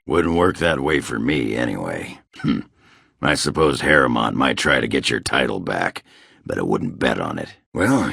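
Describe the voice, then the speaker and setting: An actor playing a gruff, crude, boozy male dwarf warrior in a video game, with a raspy growl and a coarse, blustering, grumbling manner. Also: rumbling low, a bit bright, no room sound, studio quality, full character voice. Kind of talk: video game character dialogue.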